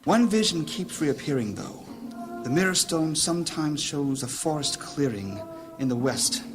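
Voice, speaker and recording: An actor playing a grave, anxious leader of a wizard council in a fantasy audio drama, speaking formally and deliberately. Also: male voice, fairly high pitch, lively intonation, over music. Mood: grave, troubled. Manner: formal, solemn, deliberate speech.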